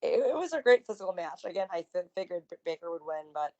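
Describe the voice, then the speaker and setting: A woman with a slightly raspy voice, co-hosting a wrestling podcast in enthusiastic, chatty conversation. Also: on the low side, very animated, quick pace, dark tone, dull audio. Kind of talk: casual wrestling recap chat.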